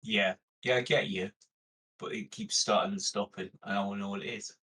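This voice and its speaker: Low-voiced man roleplaying casually. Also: fairly even intonation, brisk, bright, forceful tone. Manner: plain-spoken, informal. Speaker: player in a tabletop game. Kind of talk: casual in-character roleplay chat.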